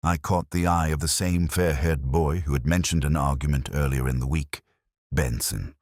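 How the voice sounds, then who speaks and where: A man playing a brooding, erudite police detective, narrating his case as a measured, melancholy, literary first-person mystery story. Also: extremely low pitch, fairly even intonation, darkish tone, no echo, studio quality. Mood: brooding, reflective.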